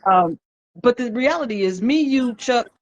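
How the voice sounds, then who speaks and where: A woman's voice: a little high, animated, normal speed. A woman in her fifties hosting a live stream chat, heartfelt and reflective, greeting viewers by name as she talks. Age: 50s. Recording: dull lo-fi livestream audio.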